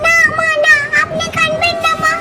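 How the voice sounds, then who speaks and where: A woman's voice: very high-pitched, monotone, normal speed. A woman voicing a sweet, devoted young wife in an amateur doll drama, chatty and coy. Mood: soothing. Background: loud music.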